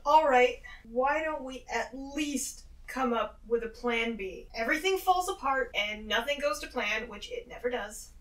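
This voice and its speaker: Echoey and off-mic, higher-pitched woman, tabletop player, casual planning talk. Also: a little slow, hardly any pauses. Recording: echoey room, distant from mic.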